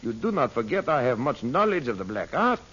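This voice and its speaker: Sly french sorcerer, husky, highish swooping voice, fawning, old radio serial. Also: male voice, very animated, a little fast, fairly bright tone. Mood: sly, insistent. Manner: obsequious, theatrical, sly. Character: scheming, fawning French-speaking male sorcerer, boastful and cruel. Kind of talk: old-time radio melodrama acting. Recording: dull, band-limited old radio recording.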